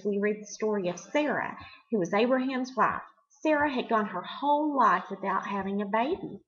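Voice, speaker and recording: A middle-aged woman hosting a devotional for children, teaching in simple, expressive words and putting questions to the listener. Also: mid-range voice, muffled recording. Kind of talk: devotional talk addressed to children, with storytelling.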